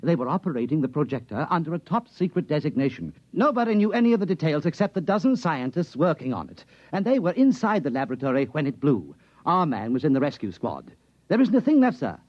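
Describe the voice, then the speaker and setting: Animated, dutiful army intelligence captain. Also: male voice, on the high side, fast talker, dry recording. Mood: excited. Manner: crisp military reporting, deferential. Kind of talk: 1950s radio drama acting. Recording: dull 1950s radio recording.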